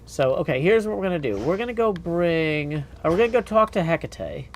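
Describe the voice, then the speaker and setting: A man's voice: fairly high pitch, lively intonation, fairly quick, a little hiss or hum. A man livestreaming a game in a casual, easygoing way, often thinking aloud as he goes. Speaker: game streamer.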